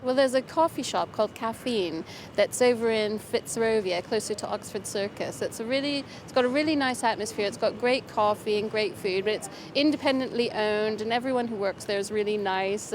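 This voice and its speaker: A woman interviewed on a London street who describes a favourite coffee shop in a warm, detailed way. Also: on the high side. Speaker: passer-by interviewee. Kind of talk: casual chat. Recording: street recording with clear traffic noise.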